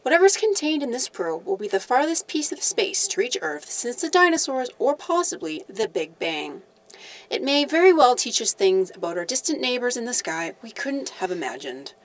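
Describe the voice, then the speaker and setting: A woman playing an awed, earnest television news reporter, with measured, dramatic broadcast delivery in an audio drama. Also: a little high, sing-song.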